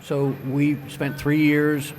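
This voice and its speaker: An older man, a company president, answering interview questions on a conference stage in a measured, engineer-like way with frequent ums and restarts. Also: a little high, completely flat delivery, darkish tone, slight background noise. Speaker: wind turbine company president.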